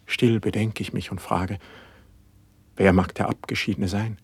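An actor playing a dreamy young poet in a classical verse radio play, speaking in a lofty, reverent way. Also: male voice, fairly low pitch, fairly even intonation, a little slow, husky, dry recording. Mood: humble, dreamy. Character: dreamy young male poet, rapt and humble.

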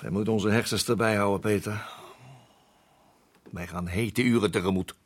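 A male actor plays a world-weary colonial captain in a radio drama. He has a fairly low voice and talks bluntly and familiarly, like an old comrade given to musing. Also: fairly even intonation, normal speed, a little hiss or hum, natural voice. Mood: blunt, wary. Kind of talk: radio play acting.

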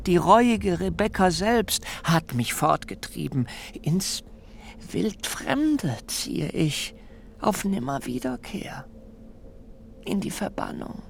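An actor playing a fearful, self-excusing biblical fugitive who pleads in wordy, theatrical rhetoric in a literary radio drama. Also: male voice, high-pitched, lively intonation, slow, vocal fry, slight background noise, heavily acted. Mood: agitated pleading. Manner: theatrical, beseeching, rhetorical questions, hushed dips into whisper.

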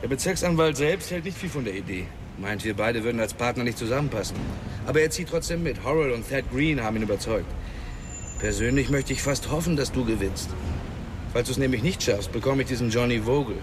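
Male actor for a crude, chummy boxer cop, radio drama: medium pitch, casual banter, clear outdoor city noise. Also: expressive, brisk, natural voice. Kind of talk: German radio play dialogue.

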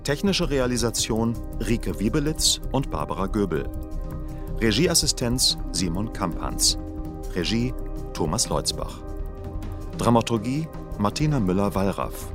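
Music plays clearly behind a male announcer with a lowish voice. He reads radio drama credits in a measured, matter-of-fact, scripted way. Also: big pitch swings. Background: prominent music.

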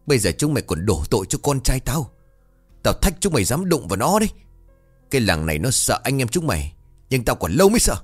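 A man voicing a sharp-tongued older village woman who wails and scolds loudly in an audio story. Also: mid-range voice, big pitch swings, quick pace, slightly rough, soft background music, pitched above the performer's own voice. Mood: furious defiance. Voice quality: loud, ringing. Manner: wailing, accusatory. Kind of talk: dramatic audio-story dialogue.

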